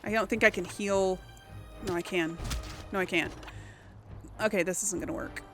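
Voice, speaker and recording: A woman's voice: medium pitch, fairly even intonation, normal speed. A woman giving casual gameplay commentary, thinking aloud and muttering complaints to herself as she plays. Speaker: let's play host. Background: video game music and sounds.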